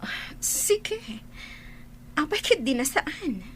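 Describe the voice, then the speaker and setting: An actress playing a hurt, restrained young woman in an Ilocano radio drama, speaking guardedly with an Ilocano accent and breathy line endings. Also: sits high, very animated, extremely slow, fairly bright tone, whispered, heavily acted. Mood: wary. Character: Estela, hurt but restrained young woman, jilted rival.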